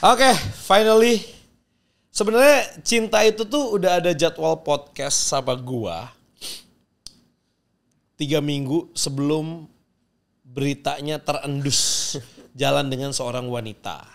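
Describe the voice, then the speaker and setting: A man's voice: a little high, slow pace, vocal fry, pauses often. A man from Java who hosts a podcast, chatting casually and teasing his guest in a playful way. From Java, Indonesia. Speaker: podcast host. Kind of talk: casual interview chat.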